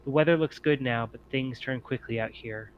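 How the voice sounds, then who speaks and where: Man, game master, scripted tabletop narration, measured storytelling, mid-pitched voice, some background noise. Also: lo-fi sound.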